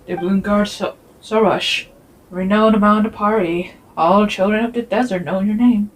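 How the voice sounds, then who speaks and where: A woman voicing an easygoing, sociable young man of the desert in a fantasy game fan dub, relaxed and conversational. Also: medium pitch, moderate pace, slight background noise, close to an everyday voice, rough recording.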